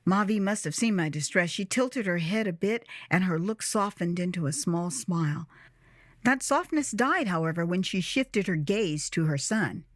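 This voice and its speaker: An American woman narrating a first-person audio drama in a theatrical, expressive way with dramatic pauses. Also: on the low side, no echo, clean studio sound. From United States.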